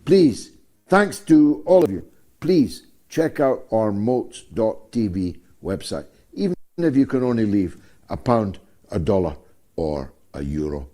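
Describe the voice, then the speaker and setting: Slow, dark-voiced talk show host. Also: male voice, lowish voice, very animated. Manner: wry, drawn-out delivery with frequent fillers. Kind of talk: radio talk show hosting.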